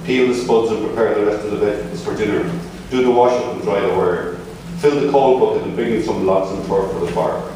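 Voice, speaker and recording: An Irish man reading his own memoir piece aloud at a book launch, measured with dry asides. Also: fairly low pitch, warm tone. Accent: Irish, not from Limerick. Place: large echoey museum hall at a book launch. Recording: echoey hall, voice from far off, noisy.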